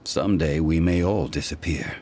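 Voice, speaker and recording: An actor playing an ancient, dignified god, calm and wise, speaking in measured, formal old-fashioned phrasing in a game cutscene. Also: male voice, a bass voice, noisy background. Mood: solemn. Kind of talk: video game voice acting. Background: music.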